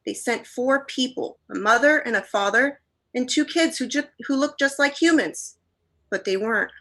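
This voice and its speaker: A woman acts out a scared, stubborn young boy in a radio drama. The voice is high and sharp, pushing to be believed. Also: normal speed. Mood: frightened, insistent. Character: frightened, defiant young boy in a radio mystery. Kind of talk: radio play acting.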